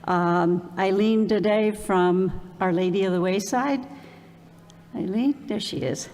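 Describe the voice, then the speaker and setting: Older woman, event organizer; slow, measured welcome on a podium mic, long church reverb. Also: mid-range voice, darkish tone. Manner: measured and unhurried, with fillers. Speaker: event organizer introducing the speaker. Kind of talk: formal welcome and introduction.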